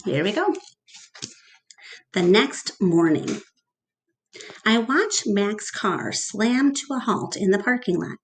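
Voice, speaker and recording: Female read-aloud teacher, medium pitch, very slow deliberate pacing, expressive storytelling for a class. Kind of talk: storybook read-aloud narration with questions to children.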